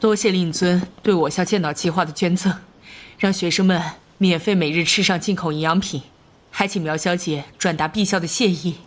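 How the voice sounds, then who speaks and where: An actress playing a courteous, dignified school principal in an animated crime drama, speaking in polite, ceremonious phrases. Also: female voice, lowish voice, normal speed, lightly acted. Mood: grateful, formal.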